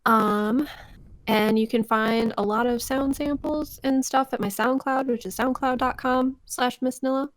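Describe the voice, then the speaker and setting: A woman with a fairly high voice, recorded close and dry. She talks casually as a podcast guest, with a giggly, self-deprecating manner. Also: fairly even intonation, measured pace, no room sound. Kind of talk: casual interview chat.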